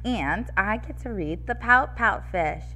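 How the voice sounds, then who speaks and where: Young female preschool teacher, bright and slightly scratchy, animated sing-song delivery for little listeners. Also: mid-range voice, measured pace. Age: young adult. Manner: animated, addressing small children, sing-song rhyme reading. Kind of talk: read-aloud for preschoolers. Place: classroom-style room with decorated wall, indoors. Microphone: headset microphone.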